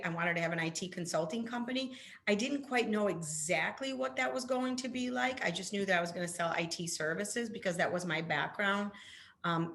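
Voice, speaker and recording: A Polish-born woman with a slightly low voice, whose first language is Polish, speaks as an interview guest. She tells her own story earnestly, circling back and restarting phrases as she goes. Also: a bit bright. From Poland. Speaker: guest, business founder. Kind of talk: interview conversation.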